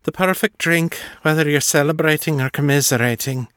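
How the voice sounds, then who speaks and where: A man's voice: on the high side, a little slow, dry recording, clean studio sound, pitched above the performer's own voice. A man voicing a hearty woman who drinks whiskey, wistful and folksy in her speech. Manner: hearty, slips into a folksy lilt.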